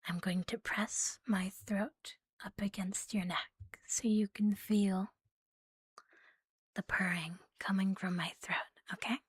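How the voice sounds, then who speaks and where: A woman's voice: mid-range voice, fairly even intonation, slow pace, husky, no echo. A woman whispering breathily in an intimate, soothing ASMR roleplay as a catgirl girlfriend, very close to the microphone. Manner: mostly whispering, close and soothing, lingering on repeated words. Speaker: ASMR performer.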